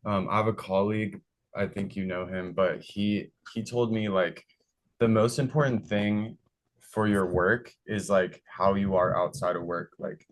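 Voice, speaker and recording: A man's voice: fairly low pitch, steady tone, a little slow, low-quality recording. A young man co-hosting a podcast, chatting in a rambling, agreeable way with plenty of fillers. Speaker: podcast co-host. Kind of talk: casual podcast chat.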